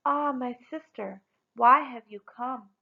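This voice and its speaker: A woman voicing a wise, warm-hearted sister in a storybook, with emphatic, wondering delivery. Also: high-pitched, slow pace, vocal fry, phone-quality sound, exaggerated voice. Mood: gentle, probing.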